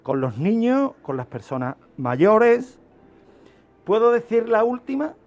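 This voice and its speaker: A man's voice: sits high, very animated, takes it slowly, darkish tone, lo-fi sound. A man speaking as a criminologist guest on a radio segment, explaining in an emphatic, didactic way with rhetorical questions. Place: radio studio.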